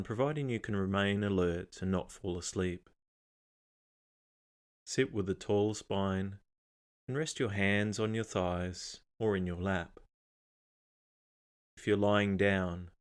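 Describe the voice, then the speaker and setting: Male meditation guide, low pitch, calm and measured delivery, unhurried phrasing with room to pause.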